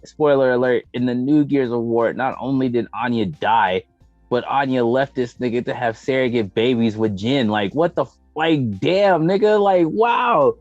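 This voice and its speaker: A man's voice: medium pitch, moderate pace. An American man hosting a casual chat about entertainment, talking in an emphatic, slangy, profane and opinionated way. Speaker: podcast host. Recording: dull lo-fi roomy audio, faint music behind.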